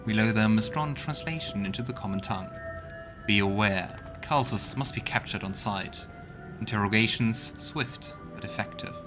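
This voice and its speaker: A man's voice: lowish voice, moderate pace. A man giving casual let's play commentary, thinking aloud and reading game text out as he goes. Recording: game music behind the voice. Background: video game music.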